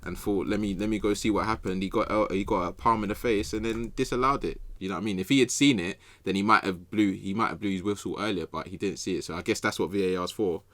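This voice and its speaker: A man's voice: lowish voice, quick pace, few pauses, a bit bright, dry recording. A young man chatting informally and in slang about football as a podcast panellist. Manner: informal, slangy, repeats phrases. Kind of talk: casual football chat.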